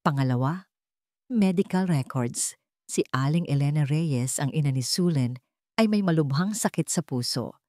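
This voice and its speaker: A woman narrator reading a scripted romance drama in a measured, dramatic storytelling manner. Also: sits low, expressive, dark tone, no echo, studio quality.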